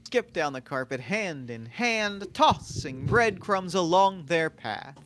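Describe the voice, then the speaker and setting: A man reading stage directions aloud at a table read, in a quiet, even voice. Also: high-pitched, slow pace, slight background noise.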